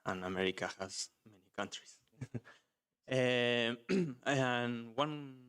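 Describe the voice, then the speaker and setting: Argentine man, nervous, hesitant, smooth clear voice, audience question. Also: medium pitch, slow pace, fairly bright tone. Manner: hesitant, many "uh"s. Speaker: audience member asking a question.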